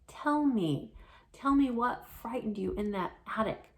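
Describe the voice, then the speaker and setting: A woman's voice: on the high side, expressive, a little slow, voice pushed up. Woman voicing a firm, caring young tomcat kitten. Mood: insistent, urgent. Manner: firm, coaxing. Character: brave, caring young male kitten, insistent big-brotherly protector.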